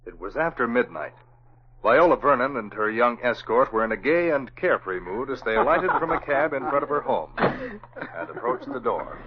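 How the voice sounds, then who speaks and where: A man's voice: medium pitch, big pitch swings, normal speed. A man announcing and narrating in a dramatic, declamatory 1940s radio style. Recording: muffled 1940s radio recording, faint noise.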